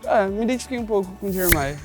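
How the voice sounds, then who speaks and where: A man's voice: sits high, expressive, racing, music in the background. Easygoing man in his twenties. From Brazil. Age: 20s. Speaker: contestant.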